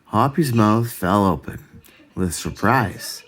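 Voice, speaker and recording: Slow, expressive man reading a storybook. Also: lowish voice, husky. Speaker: storybook reader. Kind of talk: children's story read aloud.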